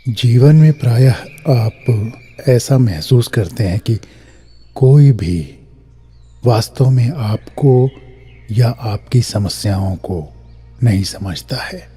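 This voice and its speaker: Slow, very dark-voiced male meditation guide. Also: medium pitch, lively intonation, husky, soft background music. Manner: measured, pausing between short phrases. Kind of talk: scripted guided meditation, instructional narration.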